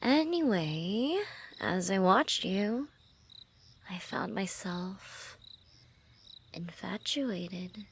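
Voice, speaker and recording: A woman playing a lovestruck assassin in an intimate ASMR roleplay, teasing and menacing, speaking softly and often dropping into whispers. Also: medium pitch, expressive, drawn-out words, long pauses, a little hiss or hum. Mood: tender, infatuated.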